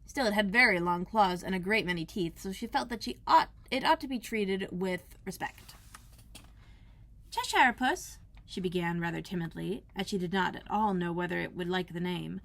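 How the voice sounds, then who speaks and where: A woman reading a children's storybook aloud in a careful, expressive narrating style, like a school librarian. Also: lowish voice, brisk, vocal fry, few pauses.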